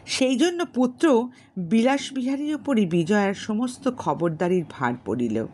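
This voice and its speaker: A woman narrating a classic novel as a storyteller, formal and measured, pausing between short phrases. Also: a little high, expressive, darkish tone, slight background noise. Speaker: storyteller and channel host. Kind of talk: audiobook narration of a classic novel with spoken introduction.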